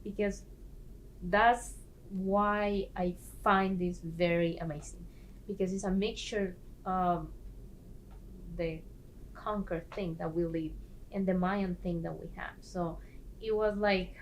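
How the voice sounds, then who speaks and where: A woman's voice: on the low side, slow pace, a little hiss or hum, low-quality recording. Woman hosting a chat. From Guatemala. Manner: animated, laughs often, searches for words. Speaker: host. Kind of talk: casual discussion.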